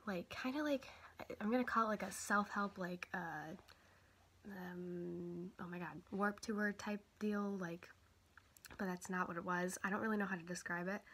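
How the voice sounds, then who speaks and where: A woman's voice: medium pitch, steady tone, normal speed, slightly rough. A young woman vlogger, a little sick and stuffy, chatting casually and rambling with lots of fillers. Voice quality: quiet voice. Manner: rambling, many fillers and self-corrections. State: stuffy nose from a cold. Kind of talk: casual chat.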